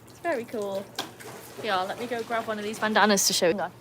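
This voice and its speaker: An English-accented woman hosts a relaxed livestream outdoors beside a pool, chatting freely and laughing often as she reads out comments. Her voice sits at a mid pitch for a woman, and a faint outdoor hum runs underneath. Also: quick pace. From England. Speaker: livestream host. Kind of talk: casual livestream chat.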